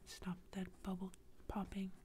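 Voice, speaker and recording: A woman's voice: medium pitch, completely flat delivery, measured pace, warm tone, a little hiss or hum, lightly acted. A woman whispering as a worn-out mother begging her son to stop. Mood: pleading.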